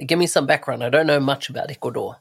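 A man's voice: medium pitch, quick pace, vocal fry, slightly rough. A man hosting a podcast interview, introducing and questioning in a conversational way. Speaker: podcast host.

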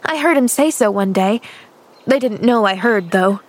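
A woman reading a storybook aloud, voicing an eager, earnest little girl who answers brightly. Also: medium pitch, expressive, moderate pace, natural voice. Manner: eager, breathless, literal.

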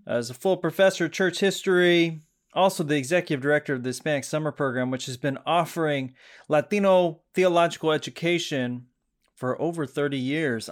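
An upbeat man hosting a podcast introduces his guest with open enthusiasm. His voice sits somewhat high for a man, and the recording is dry and close with no room sound. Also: no echo. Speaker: podcast host.

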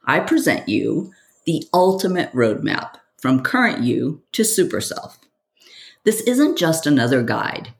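A podcast host and coach delivering a scripted monologue in a theatrical, rhetorical way, speaking straight to the listener. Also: medium pitch.

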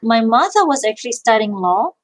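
A woman's voice: fairly high pitch, very animated, brisk. A middle-aged woman from Malaysia, answering interview questions thoughtfully, often repeating words as she restarts. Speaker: guest, social enterprise founder and IP lawyer.

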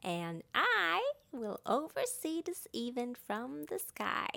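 A woman voicing an officious, watchful male owl in a children's story, announcing instructions loudly. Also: high voice, wildly swooping pitch, slow, vocal fry, heavily acted. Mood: instructing. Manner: loud, announcing.

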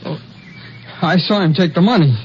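An actor playing a terrified young logging hand in a 1950s western radio drama, pleading and stammering in panic. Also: male voice, high-pitched, moderate pace, darkish tone, close to an everyday voice. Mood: frightened. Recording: dull, faintly noisy old radio recording.

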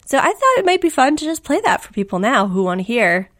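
A woman co-hosting a casual podcast, chatty and quick to laugh, her voice just back after losing it to the flu. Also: sits high, big pitch swings, a bit bright. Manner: chatty, laughs often, self-deprecating. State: recovering from flu, voice recently lost. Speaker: podcast co-host. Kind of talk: casual chat.

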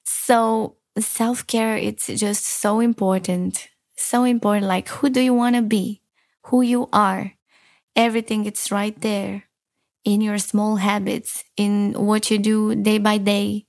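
A woman's voice: medium pitch, flat delivery, takes it slowly, darkish tone, no echo. Earnest young woman, dry close voice. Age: 20s. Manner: earnest, frequent "like" fillers, repeats phrases for emphasis. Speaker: self-help vlogger. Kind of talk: casual motivational talk to camera.

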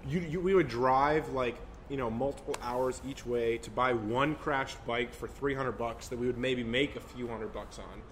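A man's voice: medium pitch, moderate pace, hardly any pauses, slight background noise. A man of twenty-three recounting his early businesses in casual chat, candid and a little complaining. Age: 20s. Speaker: business co-founder guest.

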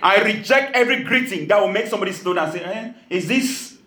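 A man's voice: high voice, animated, fast talker. Pastor from Cameroon, male, emphatic sermon delivery, echoey church hall. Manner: emphatic preaching, laughing asides, rising to shouts.

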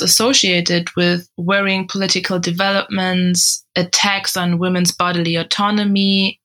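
Bright-voiced curious woman co-host. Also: mid-range voice, creaky. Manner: curious, prompting questions. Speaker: podcast co-host. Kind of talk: scripted podcast conversation.

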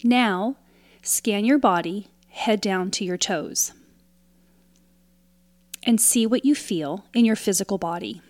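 Female meditation guide: calm, soothing scripted delivery, very unhurried, close and dry recording. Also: medium pitch, no room sound. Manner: calm, soothing, instructional, with long pauses between short phrases.

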